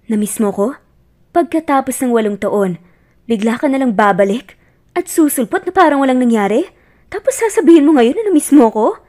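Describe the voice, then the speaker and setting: A woman's voice: high-pitched, animated, moderate pace, mellow. A woman voicing a hurt young woman confronting a lost love in an emotional, accusing way, in a romance audio story. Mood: angry, tearful.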